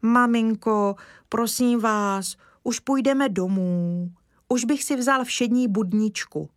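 Woman doing an excited, chatty little boy. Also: dry recording, clean studio sound, natural voice. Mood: pleading eager. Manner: eager, rushing, raised pitch.

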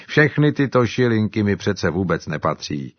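A man voicing a cheerful, grateful African man who talks with excited exclamations. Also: lowish voice, dry recording, highs cut off, voice pushed up. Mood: earnest.

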